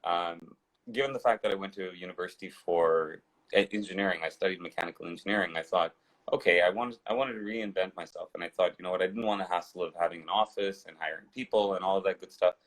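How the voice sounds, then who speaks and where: A man's voice: low voice, fast talker. A man in his thirties, raised in Dubai and India, telling his life story as an interview guest with a digressive, restart-filled way of talking. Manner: digressive, rambling with frequent restarts.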